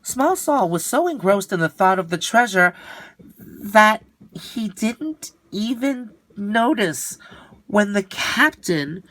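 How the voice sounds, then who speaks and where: Slow-talking man reading a children's story. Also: high voice, very animated, a bit bright, low-quality recording. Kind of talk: expressive storybook narration.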